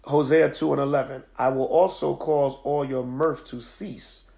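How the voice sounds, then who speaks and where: Hoarse, gravelly man preaching. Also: mid-range voice, steady tone, moderate pace, dark tone. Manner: emphatic, preacherly, with many "all right?" checks. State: hoarse from a cold. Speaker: teacher of a religious group. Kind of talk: live-streamed scripture lesson. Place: home at night. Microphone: phone on a stand.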